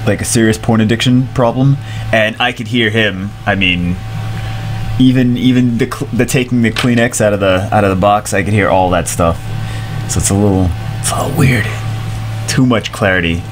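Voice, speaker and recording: A man in his thirties with a congested, stuffy voice, chatting casually and excitably as a gaming streamer while he rambles and repeats himself, recorded on a headset in a small room at home. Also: on the low side, normal speed, soft background music.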